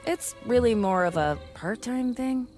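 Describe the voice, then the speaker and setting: A woman's voice: on the high side, lively intonation, a little slow, darkish tone. A part-time cultist in an adventure game. She is casual, dry and teasing, with inflection that rises and drops freely. Faint music sits behind her. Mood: wry, casual. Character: laid-back, deadpan female member of amateur pagan club. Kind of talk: video game voice acting.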